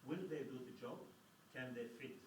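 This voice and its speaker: A man from Jordan chiming into a group discussion, eager with quick joking asides. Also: medium pitch, darkish tone, a little hiss or hum. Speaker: attendee. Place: meeting room at a live event. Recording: echoey room from far off.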